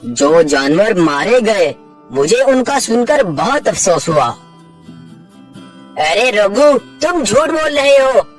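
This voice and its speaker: A man voicing an earnest talking jungle animal in a children's cartoon, with declamatory, deliberate delivery. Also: high voice, very animated, full character voice. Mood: reproachful. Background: background music.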